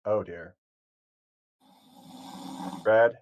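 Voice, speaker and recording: A man talks through a game stream in a calm, soothing voice that drifts and corrects itself as he goes. His voice sits low, and the stream audio is dull and lo-fi. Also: extremely slow, slight background noise. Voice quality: soothing, sleep-inducing voice. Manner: calm, rambling, self-correcting. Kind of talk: casual gameplay commentary.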